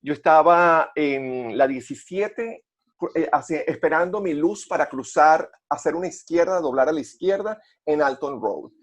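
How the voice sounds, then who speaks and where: A man with a Venezuelan accent telling personal stories conversationally, haltingly repeating words as he searches for phrasing. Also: fairly high pitch, expressive. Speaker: podcast co-host.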